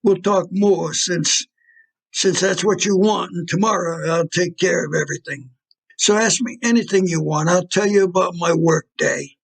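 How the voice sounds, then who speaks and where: Older man acting a creaky cold-blooded hitman boyfriend. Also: high-pitched, moderate pace, full character voice. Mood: calmly coaxing. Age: 70s. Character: cold-blooded hitman boyfriend, casually menacing, smooth-talking. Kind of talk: audio play acting.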